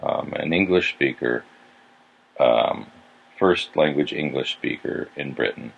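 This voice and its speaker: A man's voice: rumbling low, slow, creaky, breathy edge. A man from California, a pastor hosting a podcast, musing and thinking aloud in casual conversation. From California, USA. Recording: dull lo-fi sound.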